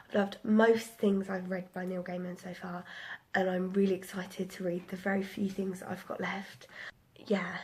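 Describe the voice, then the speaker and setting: A woman's voice: mid-range voice, darkish tone, breathy edge. A woman in her twenties chatting casually about the books she read, rambling with lots of ums, a little bunged up. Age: 20s. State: a bit bunged up. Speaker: book vlogger. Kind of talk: casual book review vlog.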